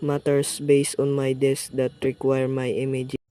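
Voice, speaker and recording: A man playing a weary, dismissive police desk sergeant in a radio play, talking down to the caller in a flat, patronizing way. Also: medium pitch, moderate pace, heavy background noise, natural voice.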